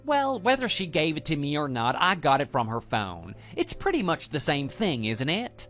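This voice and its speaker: A man's voice: a little high, sing-song, moderate pace, a bit bright. A man voicing a brash, evasive young former student in a scripted audio drama, with a flippant, defensive manner. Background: background music.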